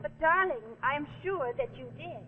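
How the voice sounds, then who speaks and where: An actress playing an anxious, nagging young wife in a 1940s suspense television drama. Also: female voice, sits high, moderate pace, noisy background, lightly acted. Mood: nagging doubt. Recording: muffled vintage TV soundtrack, loud music.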